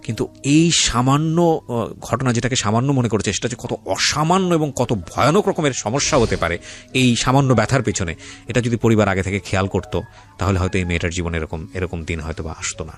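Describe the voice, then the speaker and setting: A man's voice: on the low side, a little fast. A man with a Bangladeshi accent hosting a radio interview, speaking emphatically, repeating phrases and mixing in English words. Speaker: radio host. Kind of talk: radio interview and commentary on life stories. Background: faint music.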